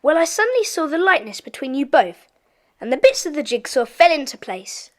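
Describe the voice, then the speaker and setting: A woman's voice: high-pitched, very animated, brisk. A woman playing a keen, clever schoolboy detective in an audiobook dramatization. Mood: keen, curious.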